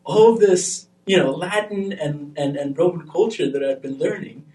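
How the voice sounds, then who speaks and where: Young guy from albania, casual chat, gets excited telling stories, echoey room. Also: a little high, moderate pace, far from the mic. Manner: animated, grows excited when telling stories. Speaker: podcast panelist. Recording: roomy, off-mic sound.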